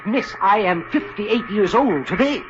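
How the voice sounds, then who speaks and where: A man's voice: high-pitched, animated, unhurried. A flustered businessman in his fifties, stammering and hesitant, his voice jumping up and down as he talks. It comes from a muffled, hissy old radio recording. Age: 50s. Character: flustered fifty-eight-year-old businessman in a hurry. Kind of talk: 1950s radio comedy acting.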